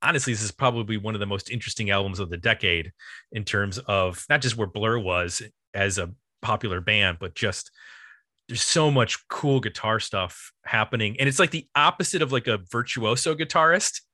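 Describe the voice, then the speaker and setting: A man's voice: fairly low pitch, normal speed, sharp tone, no room sound, studio quality. A man hosting a podcast, talking casually about music in a rambling, enthusiastic way. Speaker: podcast host.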